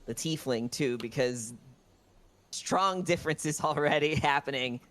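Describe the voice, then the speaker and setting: Slow-talking man playing a tabletop game. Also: on the high side, fairly bright tone. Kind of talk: casual roleplay chat.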